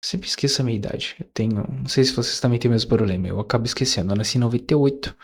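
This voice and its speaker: A man's voice: medium pitch, rapid-fire, slightly rough. Rambling young man musing. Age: 20s. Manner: rambling, many fillers and self-corrections. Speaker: YouTuber. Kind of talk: casual reflective monologue.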